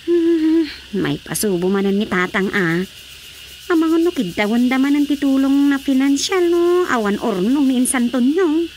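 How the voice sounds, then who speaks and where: An everyday Ilocano housewife talking in a radio drama. Her pitch is notably high, and faint crickets chirp in the background. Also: lively intonation, normal speed, a little mellow, a little hiss or hum. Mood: conversational.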